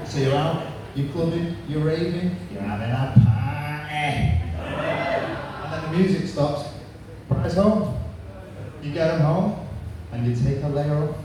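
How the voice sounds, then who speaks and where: A man's voice: fairly high pitch, a little slow. Man, 30s, Manchester accent, stand-up comic, punchy crude jokes, noisy reverberant pub stage. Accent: Manchester English. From England. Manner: punchy crude joke delivery. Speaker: stand-up comedian. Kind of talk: stand-up set. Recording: echoey room from far off, noisy.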